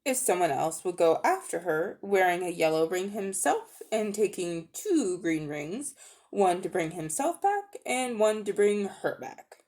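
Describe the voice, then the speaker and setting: A woman's voice: big pitch swings, measured pace, darkish tone. Woman voicing a haughty, sly older uncle. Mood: smug, calculating. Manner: high and mighty, condescending, coolly menacing. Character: haughty, sly, cold-hearted older male magician uncle. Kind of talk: dramatic audiobook reading.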